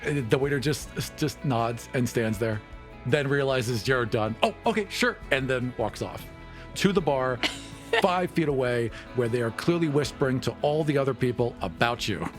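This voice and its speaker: A man's voice: medium pitch, normal speed, faint music behind. A man running a tabletop roleplaying game, improvising narration and dialogue in an informal, conversational way. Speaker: game master.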